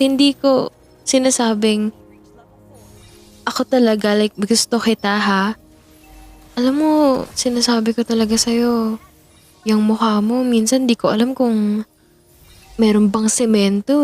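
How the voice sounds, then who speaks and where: A woman's voice: on the high side, steady tone, a little slow, pauses often, a little mellow, soft background music, lightly acted. A woman performing an audio roleplay as a playful young best friend who teases, giggles and backtracks when flustered. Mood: teasing. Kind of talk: audio roleplay, chatting while gaming.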